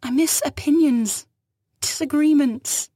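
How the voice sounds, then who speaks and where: A woman's voice: medium pitch, a little slow, a little mellow, slightly rough, no room sound, clean studio sound, voice pushed up. A woman voicing a frightened, ancient digitized alien woman who pleads for asylum in an afraid, breathless way. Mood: yearning. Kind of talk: audio story dialogue.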